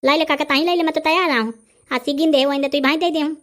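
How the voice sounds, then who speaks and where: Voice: sits high, steady tone, fast, fairly bright tone. An actor with a Bundelkhandi accent voicing a brash, argumentative village man haggling in a comedy cartoon. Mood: boastful, haggling.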